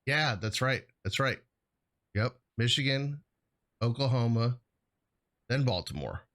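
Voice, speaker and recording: A man's voice: medium pitch, measured pace, a bit bright. A man co-hosting a show in a casual, rambling chat, often correcting himself as he goes. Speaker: co-host of a hazardous materials show.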